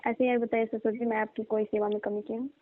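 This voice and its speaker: A woman's voice: on the high side, flat delivery, fast talker, natural voice. A woman playing a tired, complaining young daughter-in-law pleading in a staged, conversational phone call. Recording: dull, band-limited phone call recording.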